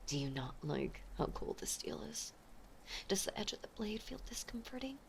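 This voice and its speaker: A woman playing an obsessive, possessive mafia heiress ex-girlfriend in a dramatic audio roleplay, with intense, menacing, theatrical delivery and a breathy voice. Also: medium pitch, big pitch swings, moderate pace, in a whisper. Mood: calm menace. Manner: intense, menacing, theatrical, swinging between hush and outburst. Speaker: voice actor.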